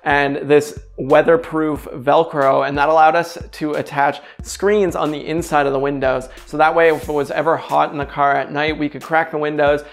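A chatty young man with a slightly high, gravelly voice tells travel stories straight to camera. His talk is casual and upbeat, full of fillers. A lapel mic catches him close, with faint music playing underneath. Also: little pitch movement, normal speed, hardly any pauses. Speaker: travel vlogger. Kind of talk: casual direct-to-camera vlog. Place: indoors in a bright kitchen.

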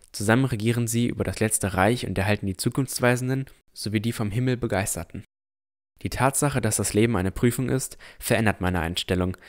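A man playing an earnest, pensive village boy of sixteen, narrating a fantasy audio drama in a reflective, deliberate way. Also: fairly low pitch, close to an everyday voice. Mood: reflective, solemn.

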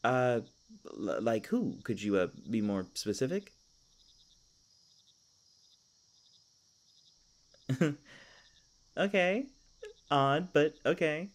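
A man's voice: medium pitch, takes it slowly, lightly acted. A man playing a flustered, skittish young elf park ranger in an audio roleplay, stammering and awkward with goofy folksy exclamations. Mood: nervous, chatty.

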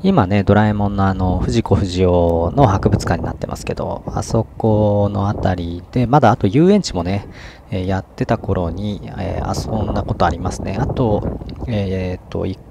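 A man's voice: lowish voice, moderate pace, vocal fry. A middle-aged man from Japan, chatting casually with lots of fillers while vlogging outdoors by a river on a camera mic. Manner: relaxed, chatty, many fillers and tag particles. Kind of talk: casual outdoor vlog narration. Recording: outdoor wind and ambient noise.

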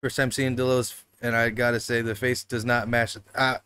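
A man hosting a casual livestream from his living room couch, talking into a desk mic on a stand. He jokes loosely, laughs often and swears freely. His voice sits at an ordinary middle pitch for a man. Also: little pitch movement, normal speed, sharp tone, clear, resonant tone. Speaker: talk show host.

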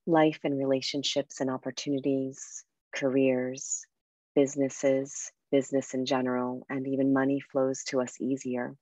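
A woman guiding a meditation and breathing exercise in a soft, unhurried, soothing way with pauses. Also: extremely low pitch, flat delivery, dry recording. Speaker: meditation guide and coach. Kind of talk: guided meditation with breathing instruction.

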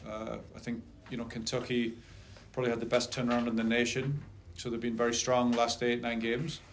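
A middle-aged man speaking as a head soccer coach at a post-game press conference, measured and steady. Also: mid-range voice, a little hiss or hum. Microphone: table mic on a stand.

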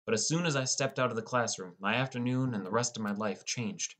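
A man's voice: mid-range voice, fairly quick, fairly bright tone. A man reading scripted first-person story narration as an audiobook narrator.

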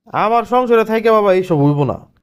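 Cartoon casting: man as tight-fisted bossy husband, high male voice, sarcastic and dismissive. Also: animated, normal speed, clear, resonant tone. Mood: scornful, domineering. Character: stingy, scornful, domineering husband. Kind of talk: cartoon family drama dialogue.

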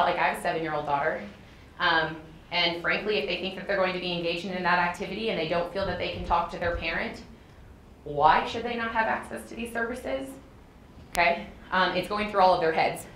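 A woman's voice: on the low side, a bit bright. A woman in her thirties speaking to an audience in a classroom, conversational and often checking in with "okay?". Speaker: clinic speaker on a panel. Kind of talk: informal talk to an audience. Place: classroom, indoors. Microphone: far room camera. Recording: echoey classroom, faint hiss.

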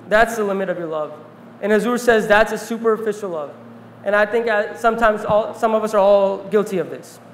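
A man's voice: sits high, a little hiss or hum. Young man telling stories in an echoey hall. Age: young adult. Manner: earnest, reflective, pauses with fillers. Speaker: speaker and interviewer. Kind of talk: storytelling talk on stage, reading notes. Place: large mosque hall on a stage. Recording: roomy hall reverb, faint ambience.

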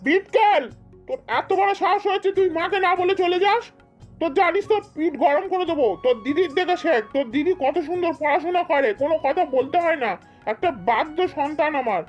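A woman's voice: very high voice, brisk, lo-fi sound. A woman acts out a hardworking, weary village farmer and father in a cartoon. He sounds rustic and plain-spoken. Music runs faintly underneath. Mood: stern, angry. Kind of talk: amateur cartoon dubbing.

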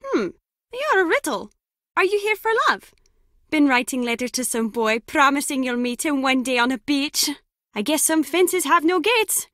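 A cheeky, nosy young woman in a video game. Her voice is high and swoops around as she teases and gushes. The sound is dry and close. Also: big pitch swings, normal speed, a bit bright, no echo. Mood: nosy, coaxing. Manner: playful, teasing, gushing. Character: chatty, cheeky, excitable young woman, nosy would-be friend.

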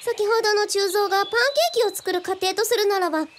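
A woman playing a precocious, curious genius girl in a radio drama, speaking deliberate, old-fashioned, very polite Japanese. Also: extremely high pitch, a little hiss or hum. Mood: studious. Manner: quaint archaic formal Japanese, deliberate.

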